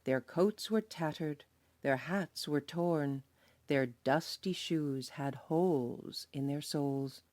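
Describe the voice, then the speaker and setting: A woman teacher reading a children's storybook aloud, slow and expressive. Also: low voice, dry recording. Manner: slow, expressive, lively voices for characters.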